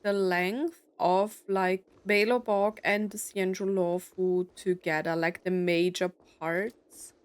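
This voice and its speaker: A woman's voice: medium pitch, no room sound. A young adult woman giving casual let's play commentary, musing aloud with jokey asides. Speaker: gaming streamer.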